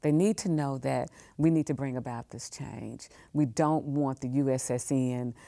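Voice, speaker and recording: An older woman from the American South talks in a very deep, gravelly voice, close and dry. She speaks as a podcast guest, reflective and emphatic, circling back to repeat phrases she wants to land. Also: normal speed, mellow, no echo. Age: 60s. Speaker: museum professional, podcast guest. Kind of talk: reflective interview conversation.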